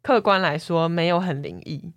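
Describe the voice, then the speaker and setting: A woman's voice: fairly low pitch, normal speed, dry recording. A woman with a Taiwanese Mandarin accent co-hosting a podcast, chatting casually with a wry, joking manner. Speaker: podcast co-host. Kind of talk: casual humorous chat.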